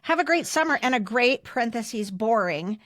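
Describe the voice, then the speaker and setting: A woman's voice: on the high side, expressive, normal speed, fairly bright tone, dry recording. Chatty American woman, dry close sound. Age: 50s. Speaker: podcast host. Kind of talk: casual chat, reading old yearbook messages aloud.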